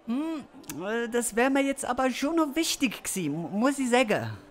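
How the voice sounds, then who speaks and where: Man playing a high, swooping, pompous village overlord. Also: big pitch swings, unhurried, heavily acted. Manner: waffling, self-important, hesitant with many tag questions. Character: Gessler, pompous, dithering village overlord who loves comfort. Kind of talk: comic Swiss-German audio play acting.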